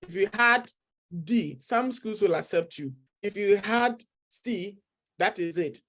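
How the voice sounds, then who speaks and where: A man's voice: sits high, takes it slowly, a bit bright. A middle-aged man with a Ghanaian accent, a study-abroad consultant earnestly encouraging viewers and repeating his key points. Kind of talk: persuasive talk to camera.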